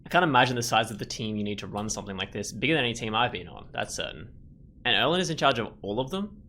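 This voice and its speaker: Bright-voiced man giving casual game commentary. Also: mid-range voice, big pitch swings, slight background noise. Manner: thinking aloud, halting. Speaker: gaming video creator. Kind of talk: casual let's play commentary.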